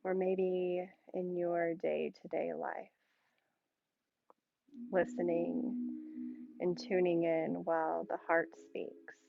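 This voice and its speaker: Slow, low-key woman guiding meditation, phone-like sound. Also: creaky. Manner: calm, soothing, with long pauses between short phrases. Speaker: yoga instructor. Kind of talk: guided meditation in a yin yoga class.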